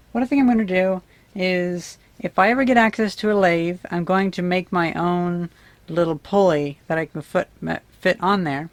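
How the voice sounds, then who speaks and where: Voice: medium pitch, no room sound. A vlogger giving a casual, unscripted demonstration, musing and tentative as if thinking aloud. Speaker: vlogger demonstrating vintage audio gear. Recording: clicks and background noise.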